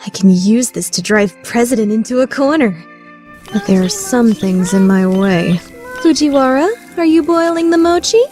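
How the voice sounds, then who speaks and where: A woman's voice: on the high side, animated, moderate pace, a little mellow, soft background music, lightly acted. A woman playing a proud, scheming young lady student in an anime-style radio play, theatrical and easily flustered. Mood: haughty, scheming. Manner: theatrical, shifting between haughty plotting and flustered outbursts.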